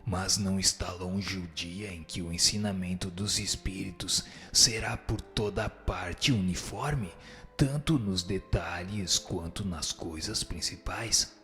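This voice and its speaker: A man playing a stern, wise spirit teacher in a radio drama, speaking formal, measured with grave authority. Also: deep voice, a bit bright, faint music behind. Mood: stern teaching. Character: solemn, stern, wise male spirit teacher answering questions. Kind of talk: scripted radio drama, didactic pronouncements.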